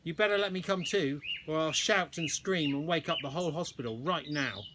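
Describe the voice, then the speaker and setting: A man's voice: a little high, a little fast, natural voice. Man reading as an indignant, defiant boy, birdsong behind. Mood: threatening. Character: defiant, indignant new boy in a children's hospital. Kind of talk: children's storybook reading. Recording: noisy outdoor recording with birdsong.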